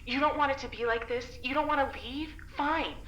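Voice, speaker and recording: A woman's voice: high-pitched, a little fast, fairly bright tone. A woman playing a sardonic, defiant captive in an audio drama, teasing and blunt in manner. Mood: challenging. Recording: roomy sound with faint noise.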